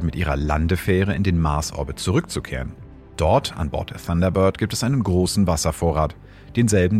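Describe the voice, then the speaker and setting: Deep guy narrating space science, measured, soft music underneath. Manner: measured, explanatory. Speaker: host and astrophysicist.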